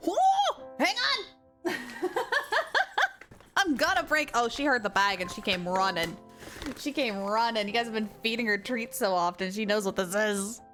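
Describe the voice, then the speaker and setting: A woman livestreamer chatting casually over a game, with an excitable, gushing manner. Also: on the high side, measured pace, soft background music. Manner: excitable, gushing, baby-talks to her dog. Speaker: live game streamer. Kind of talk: casual livestream chat while gaming. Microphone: streamer's mic, wearing headphones.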